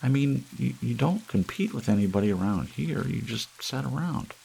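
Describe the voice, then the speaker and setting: Man playing a simple, kindly housewife, pitched up. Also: animated, normal speed, creaky, dark tone, a little hiss or hum, low-quality recording. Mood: gently coaxing. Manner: hesitant, earnest, simple.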